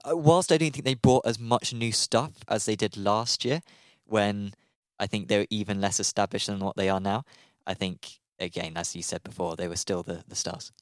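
A man co-hosting a racing podcast in casual chat, relaxed and hesitant with many fillers. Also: fairly low pitch, normal speed, no room sound. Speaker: podcast co-host. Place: studio.

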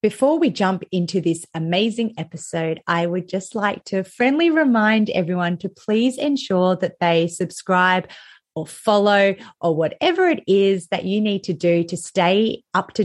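A woman's voice: lowish voice, a little slow. A woman with an Australian accent hosting a podcast interview, chatty and enthusiastic, often restarting her sentences. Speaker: podcast host.

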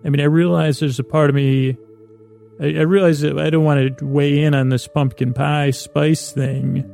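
A man hosting a podcast with a rambling, whimsical bedtime monologue, in a creaky voice, meandering and halting as he repeats and doubles his words. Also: on the high side, little pitch movement, mellow, soft background music. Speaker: podcast host.

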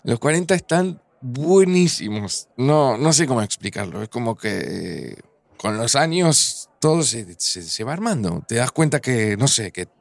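A man's voice: mid-range voice, sing-song, unhurried, gravelly, no echo. An actor playing a nervous, smitten man in his forties, chatting casually and affectionately with an old friend in a Buenos Aires accent, in a fiction podcast. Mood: sincere, nervous. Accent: Argentine, Buenos Aires. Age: 40s. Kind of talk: naturalistic fiction podcast dialogue.

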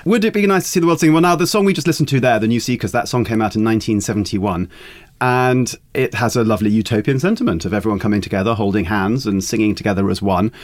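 Man, pop critic guest, articulate, wry, rapid delivery. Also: on the low side. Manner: wry, articulate, formal phrasing. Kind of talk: podcast discussion.

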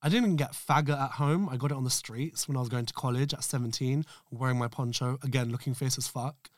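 A man's voice: mid-range voice, fast, no echo, studio quality. Camp, sassy man hosting. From England, east London. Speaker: podcast host. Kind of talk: scripted personal narration.

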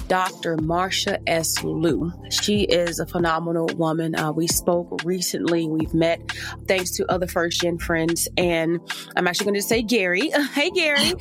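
A woman hosting a podcast, chatting with enthusiasm and laughing often. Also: on the low side. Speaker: podcast host. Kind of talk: upbeat podcast chat.

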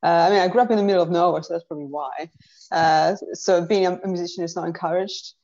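A woman's voice: lowish voice, quick pace, darkish tone, dull audio. A woman from Sardinia, a musician guest, speaking frankly and wryly in a casual chat. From Sardinia, Italy. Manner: wry, frank, casual swearing.

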